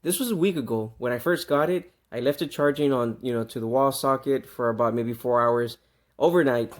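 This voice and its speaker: A man's voice: mid-range voice, a little fast. A man talking casually through an unscripted product demonstration, conversational, repeating himself and stressing key words. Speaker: product reviewer.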